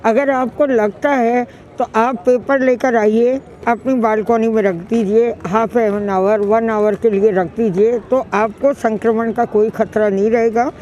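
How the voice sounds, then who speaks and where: A woman's voice: a little high, fairly even intonation, measured pace, hardly any pauses, dark tone, highs cut off. A middle-aged woman answering street interview questions outdoors in a park, speaking fondly and emphatically and repeating herself. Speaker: longtime newspaper reader interviewee.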